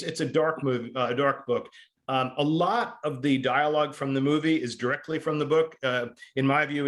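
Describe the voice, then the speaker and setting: A man speaks as a lecturer in an informal discussion. His pitch sits in the middle range for a male voice. He talks conversationally, with a halting flow as he works through his points. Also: a bit bright.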